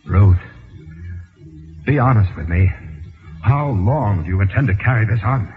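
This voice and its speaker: An actor playing an anxious, cornered impostor in an old-time radio drama, stammering and pleading. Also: male voice, fairly low pitch, animated, measured pace, soft background music. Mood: desperate, bitter. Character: anxious, cornered male impostor being blackmailed. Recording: tinny, narrow-band old radio recording.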